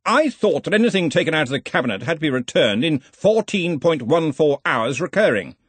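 A man's voice: a little high, very animated, a little fast, slightly rough, no echo, voice pushed up. A man voicing a brash, cheerful, domineering wizard arch-chancellor in a storybook, blustery and commanding. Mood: brisk questioning.

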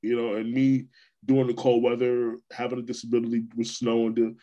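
A man from New York hosting a podcast, talking in a relaxed, casual way with lots of repeats and restarts. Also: mid-range voice, a little fast, highs cut off. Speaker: podcast host. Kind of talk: casual conversation.

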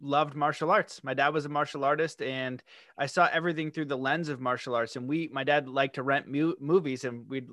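A man's voice: medium pitch, fairly even intonation, fairly quick, a bit bright, dry recording, studio quality. A man from Canada, a guest on an interview podcast, telling his story in a friendly way with frequent "you know"s. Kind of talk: interview conversation.

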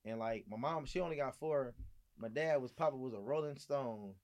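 A man's voice: medium pitch, moderate pace. Slangy Los man telling stories. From Gardena, California. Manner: animated, slangy, repeats phrases for emphasis. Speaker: podcast guest, restaurant owner. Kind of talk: casual chat, storytelling.

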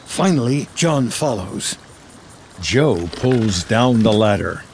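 A man's voice: on the low side, lively intonation, slow pace, darkish tone, a little hiss or hum. A man with an American accent reading scripted screenplay narration in the present tense.

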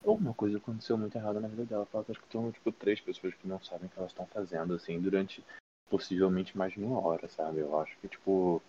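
Very fast young Brazilian man, noisy mic. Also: deep voice, fairly even intonation, dark tone. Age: young adult. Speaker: podcast co-host. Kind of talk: casual chat with friends. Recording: dull, noisy voice-chat microphone.

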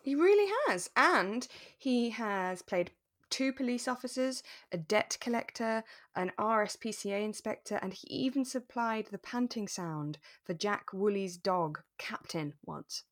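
A woman's voice: mid-range voice, animated, measured pace, no room sound, clean studio sound. A woman co-hosting a podcast in casual chat about a radio drama, speaking emphatically and repeating words for stress. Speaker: podcast co-host.

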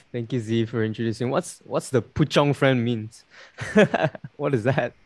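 A man's voice: medium pitch, slow pace, darkish tone, no echo. A young man hosting a casual podcast chat, talking loosely with lots of fillers and frequent laughs. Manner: chatty, laughs often, many "like" fillers. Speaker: podcast host.

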